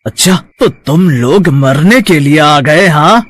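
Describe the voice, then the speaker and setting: A man playing a cruel, gloating villainous husband in an animated story, taunting with drawn-out menace. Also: a little high, heavily acted. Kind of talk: animated moral story character acting.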